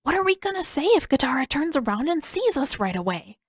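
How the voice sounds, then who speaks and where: A woman's voice: sits high, sing-song, a little fast, no room sound, studio quality, voice pushed up. A woman voicing a mild, hesitant, skeptical young boy in an audiobook, with a light, tentative delivery. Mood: doubtful. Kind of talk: acted audiobook dialogue.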